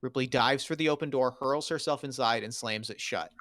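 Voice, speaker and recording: A man's voice: medium pitch, a little fast, fairly bright tone. A man reading screenplay stage directions aloud as dramatic narration at a table read.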